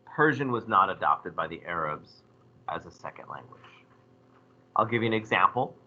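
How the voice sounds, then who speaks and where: A man teaching a history class, lecturing in a lively way and putting questions to his students. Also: deep voice, moderate pace, highs cut off. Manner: animated, asks the class questions.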